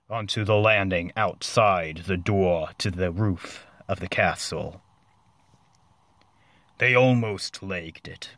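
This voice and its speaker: A man narrating a comic story as a dramatic reading, deliberate and mock-serious, with dramatic pauses. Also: low-pitched, fairly bright tone, no echo.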